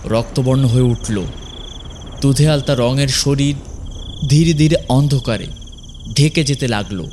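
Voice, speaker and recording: A man narrating a scripted horror story in a suspenseful, dramatic way with deliberate pauses. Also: mid-range voice, noisy background. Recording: music behind the voice.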